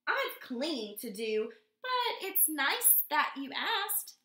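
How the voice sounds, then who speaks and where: A woman's voice: sits high, very animated, slow pace, sharp tone, echoey room, full character voice. A woman voicing a shy, nervous male koala who won't leave his tree. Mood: hesitant. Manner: hesitant, nervous.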